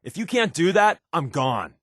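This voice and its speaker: A man's voice: a little high, big pitch swings, normal speed, dry recording, studio quality. A man with an American accent playing a wry, evasive spy companion in a video game, talking conversationally with hesitant asides. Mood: firm, serious.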